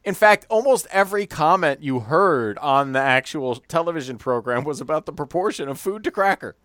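Man, podcast co-host, casual chat, animated, laughing, dry close sound. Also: a little high, no echo. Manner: animated, quick to exaggerate and laugh.

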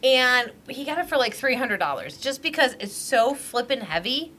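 A woman's voice: sits high, lively intonation, normal speed, sharp tone. A middle-aged woman, co-hosting a podcast at her dining table, rambling and laughing often, a little tipsy. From Michigan, USA. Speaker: podcast co-host. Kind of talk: casual chat. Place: at a large dining table at home.

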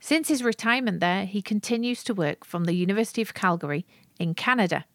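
A woman hosting a podcast, presenting a book review in a clear, methodical, scripted way. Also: mid-range voice, lively intonation, quick pace, a bit bright, dry recording. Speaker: podcast host.

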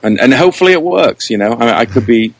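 A man talking casually as an interview guest, thinking aloud and pausing as he works out his answers. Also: mid-range voice, expressive. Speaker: interview guest, show creator, writer and director.

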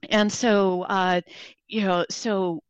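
A guest on a radio talk show who speaks haltingly, with many restarts. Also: medium pitch, little pitch movement, clean tone, no room sound, muffled recording. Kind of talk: radio interview.

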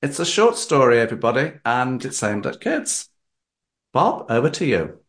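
A man's voice: medium pitch, sing-song, moderate pace. A man hosting, introducing a story reading and handing over to the storyteller.

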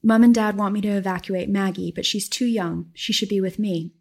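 A woman's voice: medium pitch, fairly even intonation, brisk, a little mellow, natural voice. A woman reading aloud as a young mother who pleads and argues to keep her daughter with her. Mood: defiant. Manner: defiant, pleading.

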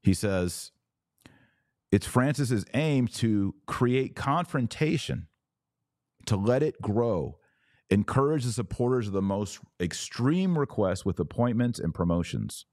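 A middle-aged man hosting a religious commentary podcast, speaking in deliberate, stressed phrases with pauses. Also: medium pitch, lively intonation, a little mellow, dry recording, studio quality. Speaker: podcast host. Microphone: close studio microphone.

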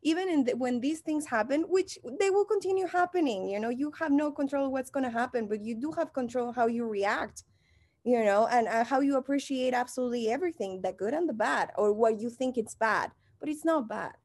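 Perky mexican lady chatting on a podcast, kinda high voice, dry sound. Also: a little fast, no room sound. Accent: Mexican Spanish. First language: Spanish. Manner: perky, animated, laughs often. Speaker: podcast guest, actor. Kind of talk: casual conversation.

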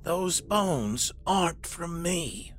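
An actor playing a withered, sinister old serial killer in an audio drama, with a raspy, smoke-worn voice and a deliberate, menacing delivery. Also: male voice, on the high side, lively intonation, a bit bright, exaggerated voice. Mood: cold menace. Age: older.

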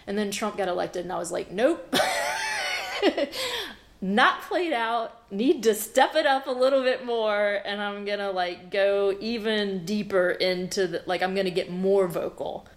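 A woman's voice: medium pitch, unhurried. A woman from the American South, a guest artist talking frankly in an interview and laughing at her own jokes. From Southern United States (Memphis, South Georgia). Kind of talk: conversational interview.

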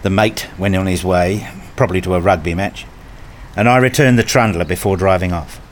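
A wry, anxious, nerdy dad played by a man telling his story in a radio play. His voice is on the low side, with dramatic, formal phrasing and noticeable noise behind it. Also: a little fast, natural voice. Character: self-deprecating, anxious, nerdy father narrating his day. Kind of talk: first-person radio play narration.

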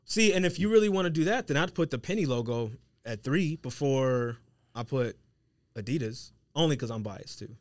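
A man's voice: mid-range voice, expressive, normal speed, no echo. A man co-hosting a podcast, chiming in with short, slangy remarks in casual banter. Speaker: podcast co-host.

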